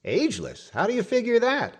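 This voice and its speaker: A man's voice: very high-pitched, wildly swooping pitch, brisk, slightly rough, full character voice. A man playing a wisecracking, bumbling older husband in an old-time radio comedy, with a wry, folksy, mock-grandiose manner. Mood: wry, joking.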